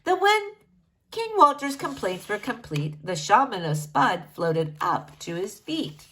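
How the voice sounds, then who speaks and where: A woman's voice: medium pitch, very animated, a little slow, sharp tone. A woman reading a rhyming children's story aloud, theatrically, with sing-song emphasis. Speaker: storybook reader.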